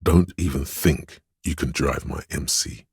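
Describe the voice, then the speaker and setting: An actor playing a stern, proud inner voice of authority in a video game, narrating in a grave, measured, commanding manner. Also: male voice, a bass voice, husky, no echo, studio quality, heavily acted. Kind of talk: dramatic game narration in second person.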